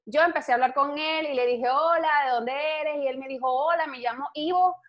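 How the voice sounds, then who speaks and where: A young Venezuelan woman with a Venezuelan accent chats as a guest, outgoing and animated as she tells her stories. Her voice sits a little high. The sound is dull and lo-fi. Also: fairly quick. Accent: Venezuelan Spanish. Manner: outgoing, animated storytelling, laughs easily. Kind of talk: casual interview chat.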